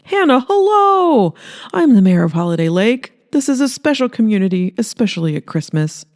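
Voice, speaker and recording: Narrator putting on a glib, high-pitched small-town mayor. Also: big pitch swings, normal speed. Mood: gushing, showy. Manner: salesman-like, emphatic public speechifying. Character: glib, smiling small-town male mayor, showy self-promoter.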